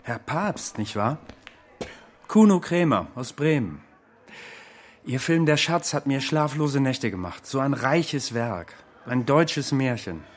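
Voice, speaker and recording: Ingratiating, effusive German admirer. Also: male voice, medium pitch, animated. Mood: fawning. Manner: effusive, polite, deliberate. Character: smooth, flattering German émigré businessman, ingratiating admirer. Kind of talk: radio drama acting.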